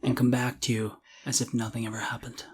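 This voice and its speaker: A man's voice: mid-range voice, flat delivery, fast, husky. A middle-aged man co-hosting a podcast in casual chat, with a jokey, self-deprecating way of talking. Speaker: podcast co-host.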